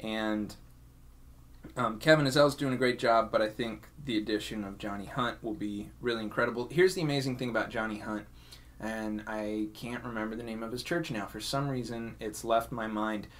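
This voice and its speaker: A man's voice: lowish voice, fairly even intonation, normal speed, a bit bright. A man hosting a podcast in a casual, conversational style. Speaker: podcast host.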